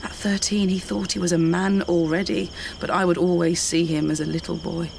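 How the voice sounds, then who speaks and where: Middle-aged British woman, candid and weary, fry in the voice, naturalistic radio acting, noisy night exterior. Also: lowish voice, level tone, brisk, darkish tone. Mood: tender, reflective. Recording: night insects and outdoor ambience noise.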